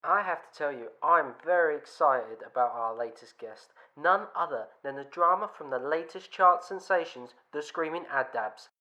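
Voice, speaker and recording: Actress as upbeat radio presenter, crisp bright tone, formal interview delivery. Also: female voice, deep voice, expressive, moderate pace, few pauses, lo-fi sound. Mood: announcing. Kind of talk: amateur radio play acting as broadcast presenter.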